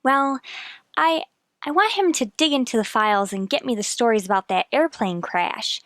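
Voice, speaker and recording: An inquisitive, persistent wife turned amateur sleuth played by a woman in a radio mystery. Her voice sits a little high and is recorded dry and close. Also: animated, moderate pace, a bit bright, dry recording. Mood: preoccupied. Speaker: actor. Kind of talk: radio mystery drama acting.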